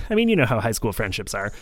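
Podcast host, man, quick and chatty, close dry studio mic. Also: fairly low pitch, sing-song, a bit bright, no echo. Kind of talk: casual chat. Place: home studio.

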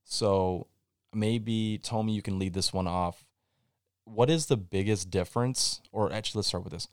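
Young male podcast moderator, low register, conversational interviewer, close dry recording. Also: normal speed, dry recording. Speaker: podcast host.

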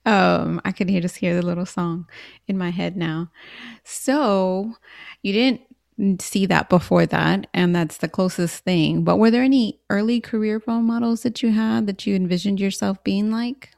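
A woman's voice: medium pitch, steady tone, normal speed, gravelly, a little mellow, dry recording, studio quality. A woman hosting a podcast, introducing her guest and asking friendly, upbeat questions. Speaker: podcast host. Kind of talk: casual podcast interviewing.

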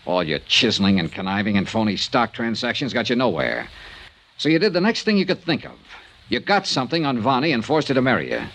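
Fast-talking hard-boiled radio investigator. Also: male voice, medium pitch, animated, a bit bright. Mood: accusing. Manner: clipped, hard-boiled, sarcastic. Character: tough, sardonic male insurance investigator, relentless and accusing. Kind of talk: old-time radio detective drama acting. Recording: hissy old radio broadcast recording.

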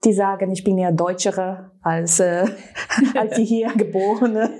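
A woman's voice: mid-range voice, steady tone, measured pace, warm tone. A woman from Brazil, a native Portuguese speaker with a Brazilian accent, talking enthusiastically as a talk show guest with many fillers. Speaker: guest, brand manager.

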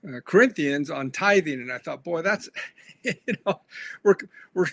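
A man, a pastor and author, earnestly explaining his faith in a conversational interview, pausing on fillers. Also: a little high, animated, fairly bright tone. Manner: earnest, reflective, with frequent fillers.

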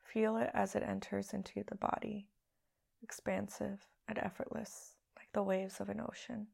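A woman leading a guided meditation as a podcast host, speaking in a hushed, soothing, unhurried way with long pauses. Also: medium pitch, little pitch movement, gravelly, slightly rough.

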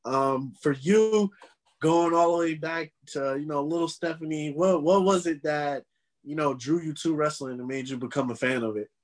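A man hosting a podcast, chatting casually with a guest in an energetic, slangy way and laughing a lot. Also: fairly high pitch, moderate pace. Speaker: podcast host.

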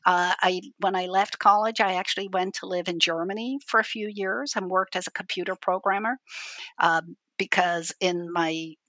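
A woman's voice: on the low side, steady tone, normal speed, fairly bright tone, no echo. Dry-voiced woman from Ireland, talking shop. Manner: conversational, explaining at length with frequent restarts. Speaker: university IT chief, interview guest. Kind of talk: casual interview conversation.

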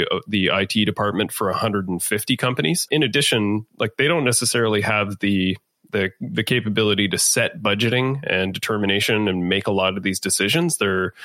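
A man speaking as an interview guest. His voice sits fairly low but has a bright, forceful edge, with a dry close sound. He explains things in a measured, conversational manner. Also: dry recording. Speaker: podcast guest, management consultant.

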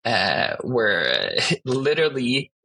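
Swedish-native young man, bright husky voice. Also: medium pitch, wildly swooping pitch. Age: 20s. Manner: halting, searching for words, many restarts. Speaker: guest, art director. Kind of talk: casual interview talk.

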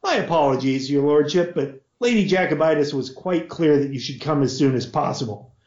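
Man voicing a deferential male butler, formal, measured, slightly high voice. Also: expressive, a little mellow, close to an everyday voice. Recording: roomy, dull lo-fi audio.

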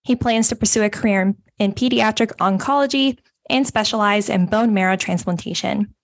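A woman's voice: medium pitch, brisk, dry recording. A woman co-hosting a podcast, chatting playfully and laughing often, and reading a guest introduction. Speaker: podcast co-host. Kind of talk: casual chat and guest introduction.

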